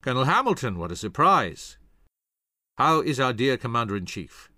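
A man's voice: mid-range voice, big pitch swings, moderate pace, sharp tone, dry recording, clean studio sound, voice pushed up. A man voicing a genial, wealthy middle-aged general and father, hearty and courteous, in historical audiobook dialogue. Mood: hearty welcome.

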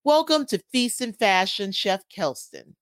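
A woman's voice: mid-range voice, very animated, unhurried. A woman hosting a food podcast, reading a polished introduction and then chatting with her guest. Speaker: podcast host. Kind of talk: scripted intro then interview chat.

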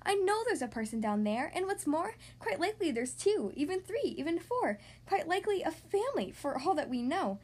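A big-hearted storybook elephant, voiced by a woman, earnest and kindly. The voice is high and very animated, with a protective, pleading manner. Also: brisk, hardly any pauses.

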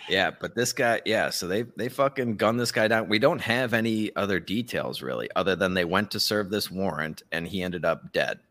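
Blunt sweary guy on a podcast, low voice w a hard bright edge, sarcastic casual ranting. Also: animated, normal speed, few pauses. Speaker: podcast host. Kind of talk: casual opinionated commentary chat.

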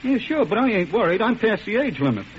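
Casting: very high-voiced American hoodlum, excitable, slangy gang lookout, noisy vintage radio recording. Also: male voice, quick pace. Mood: wary, confiding. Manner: slangy, streetwise gangster talk. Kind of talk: 1940s radio acting. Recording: dull, hissy old radio recording.

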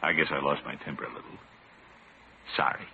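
An American man playing a blustery, soft-hearted middle-aged uncle in a classic radio comedy, theatrical and pompous. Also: extremely low pitch, steady tone, brisk, creaky, husky, exaggerated voice. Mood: soft, regretful. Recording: muffled, noisy vintage radio recording.

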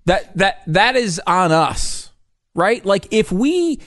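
A man co-hosting a talk radio show, arguing his side in an animated way. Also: high voice, slow, no echo, studio quality. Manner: animated, argumentative. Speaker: co-host. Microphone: studio microphone.

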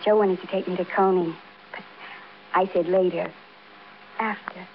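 An actress playing a childlike, defiant housewife confessing in a naive, matter-of-fact way, in 1950s radio crime drama style. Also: female voice, fairly low pitch, steady tone, normal speed, close to an everyday voice. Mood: wistful, detached. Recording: dull, noisy old radio broadcast.

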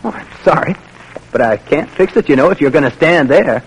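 A polite young private from a vintage radio drama. His voice sits fairly high and is husky, with an easygoing, folksy way of talking. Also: lively intonation, a little fast, poor audio. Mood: friendly, helpful. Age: young adult. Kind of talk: old-time radio drama acting.

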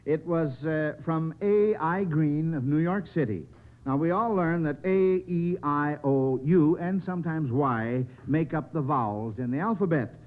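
A man hosts an old-time radio quiz with a folksy, encouraging manner. He talks slowly in a raised-pitch voice. The recording is tinny and narrow, like a 1950s broadcast. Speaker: quiz show host.

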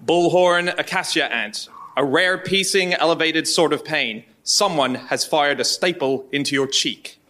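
A man's voice: fairly high pitch, unhurried, few pauses, bright, forceful tone. A man giving a dramatic reading as a podcast panellist.

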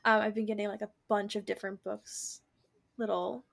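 A woman co-hosting a podcast in casual, unscripted chat, telling stories in a giggly, animated way. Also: medium pitch, normal speed, vocal fry. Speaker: book podcast co-host.

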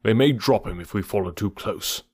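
A man's voice: lowish voice, normal speed, darkish tone, husky, no echo, studio quality. A man doing a stern scornful panther.

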